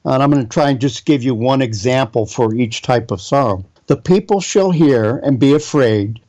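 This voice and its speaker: A man's voice: mid-range voice, normal speed, dark tone, muffled recording. A man preaching a Bible teaching sermon, reading scripture aloud and spelling out Hebrew words.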